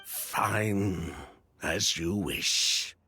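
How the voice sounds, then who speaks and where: An actor playing a weary, resigned male former convict in a video game, telling his story in a deliberate, dramatic way. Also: low voice, sharp tone, slight background noise, heavily acted.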